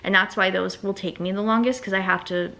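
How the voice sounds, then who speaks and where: A young woman chatting casually at home in a vlog, rambling and thinking out loud about her day and small business work. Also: medium pitch, fairly even intonation, brisk, gravelly. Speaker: vlogger and small business owner.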